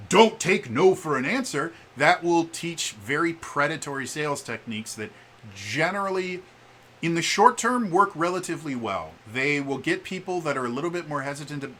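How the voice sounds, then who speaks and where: A man vlogging in a casual, opinionated monologue, speaking haltingly and often stammering on the first sounds of words. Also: on the high side, pushed and bright.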